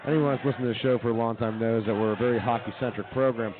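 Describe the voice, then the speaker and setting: A man's voice: mid-range voice, steady tone, rapid-fire. A man hosting a live sports talk radio show with running commentary from a brewery. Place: brewery venue, live broadcast. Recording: thin phone-like sound with crowd chatter.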